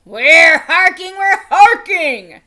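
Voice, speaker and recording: A woman voicing a blustering old king, with a loud, drawn-out booming delivery. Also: lively intonation, exaggerated voice. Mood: hasty.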